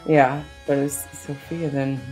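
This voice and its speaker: A woman's voice: rumbling low, level tone, slow, a little mellow, soft background music, poor audio. A woman co-hosting a live-stream trivia night, chatting and reading quiz options aloud. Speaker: stream co-host.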